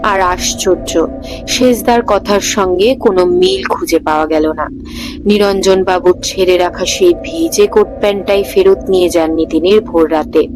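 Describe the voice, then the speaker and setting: A woman narrates a ghost story in a measured, suspenseful style as the show's host. Her pitch sits in the middle for a woman. Background music plays clearly under her voice. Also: rough recording.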